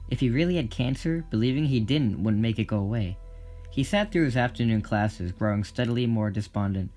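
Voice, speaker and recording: A man's voice: medium pitch, darkish tone. A man reading scripted story narration in an audio drama. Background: plucked guitar music.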